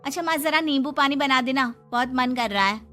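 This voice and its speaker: A woman's voice: sits high, a little fast, pushed and bright, soft background music. A woman voicing a dismissive, brusque married sister-in-law in a family story. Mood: curt. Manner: brusque, disapproving.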